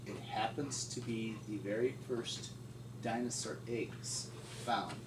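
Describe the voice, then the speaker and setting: A middle-aged man reminiscing informally as an interviewee, in a halting, folksy way. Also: lowish voice, fairly even intonation. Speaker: paleontologist interviewee. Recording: distant mic, echoey room, loud noise.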